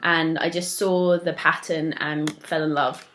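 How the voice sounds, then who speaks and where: A young woman hosting a knitting podcast, chatting casually and a little stuffed up from a cold, with many ums and soft laughs. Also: deep voice, level tone, measured pace, a little hiss or hum. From moved to Australia from elsewhere. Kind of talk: casual solo chat to camera.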